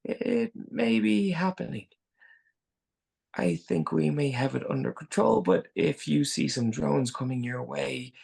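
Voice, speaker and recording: An actor playing a brusque, exasperated Navy admiral with a gravelly, husky voice, giving halting, clipped orders in a screenplay table read. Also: mid-range voice, full character voice. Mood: forced cheer.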